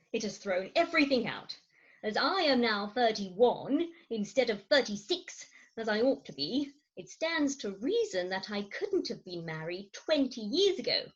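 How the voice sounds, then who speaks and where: Muffled video-call sound carries a woman playing a melodramatic wife in a stage farce. Her pitch sits high and swings dramatically, with a slightly husky edge, as she frets and confides. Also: exaggerated voice. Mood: flustered confession. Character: fretful, theatrical Victorian wife of thirty-six. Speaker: actor in an amateur staged reading. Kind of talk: Victorian farce stage acting.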